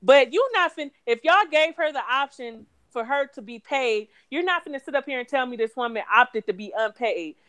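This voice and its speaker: A woman's voice: high-pitched, lively intonation, normal speed, few pauses, fairly bright tone, no room sound. A woman in her thirties co-hosting a podcast, talking bluntly and profanely in casual chat. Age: 30s. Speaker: podcast co-host.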